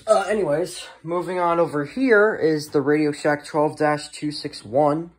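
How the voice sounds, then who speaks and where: A man giving a casual, unscripted show-and-tell as a hobbyist presenter, halting and often trailing off, recorded indoors at home. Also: on the high side, steady tone. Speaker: hobbyist presenter of a weather radio collection.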